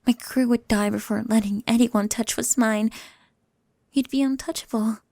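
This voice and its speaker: A woman's voice: steady tone, moderate pace, warm tone, no echo, studio quality. A woman playing a brooding, possessive and commanding goth pirate captain in an intimate, murmured ASMR roleplay. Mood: protective, possessive. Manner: low-key menacing, intimate, breathy murmuring.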